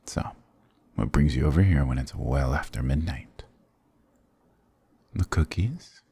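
Very deep, gravelly flirty goth guy, acted by a man. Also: lively intonation, unhurried, mellow, dry recording, clean studio sound, heavily acted. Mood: teasing, curious. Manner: teasing, affectionate, mock-dramatic. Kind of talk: intimate ASMR roleplay acting.

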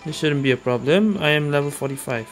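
A man's voice: on the high side, quick pace. Casual male gamer over game music. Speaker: gamer, streamer. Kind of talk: casual let's play commentary.

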